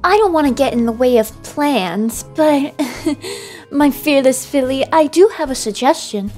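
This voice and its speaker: Woman as a coy, slow-talking young quarterback charmer. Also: sits high, lively intonation, darkish tone. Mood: sly. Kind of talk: amateur comedic cartoon redub. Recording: music behind the voice.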